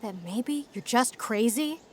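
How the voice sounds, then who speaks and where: Audio drama role: principled, cautious woman academic, high voice, blunt delivery, some background noise. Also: expressive, a little slow. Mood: confrontational. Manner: blunt, admonishing.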